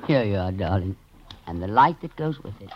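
A woman's voice: extremely low pitch, lively intonation, fairly quick, dull audio. A woman playing a worldly, flippant society lady with a theatrical, wry drawl in an old-time radio drama, on a noisy old recording. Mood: wry, flirtatious.

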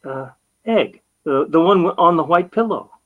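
Man playing flustered, stammering customer, highish voice. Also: expressive, normal speed, phone-quality sound, natural voice. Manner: stammering, hesitant, sheepish. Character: flustered, bumbling male customer bluffing as big-game hunter. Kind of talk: old-time radio comedy acting.

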